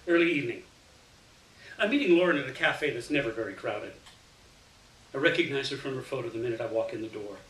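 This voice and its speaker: A man reading a first-person short story aloud in an expressive, dramatic way. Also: mid-range voice, quick pace, long pauses. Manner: dramatic, animated delivery with acted dialogue. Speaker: reader of a short story to an audience. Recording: roomy, distant mic, faint noise.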